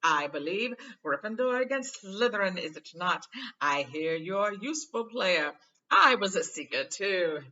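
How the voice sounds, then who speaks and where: A woman putting on a loud, pompous male show-off wizard. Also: expressive, unhurried, highs cut off. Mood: boastful, condescending. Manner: pompous, booming, self-satisfied. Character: vain pompous adult male wizard teacher, smug show-off.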